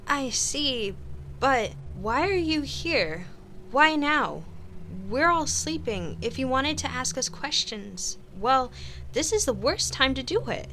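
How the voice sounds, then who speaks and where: Timid, curious alien scribe , played by a woman for radio drama: high-ish, slow, very expressive. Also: full character voice. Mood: puzzled. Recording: roomy echo, faint noise.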